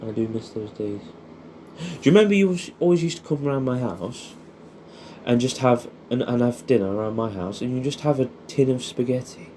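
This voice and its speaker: A young man with an English accent and a soft, mellow voice reminisces as if to an old mate. He rambles nostalgically, swears freely and keeps ending statements with little questions. There is faint noise behind him. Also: medium pitch, lively intonation, fairly quick. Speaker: audio creator. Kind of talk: casual intimate reminiscing addressed to an old friend.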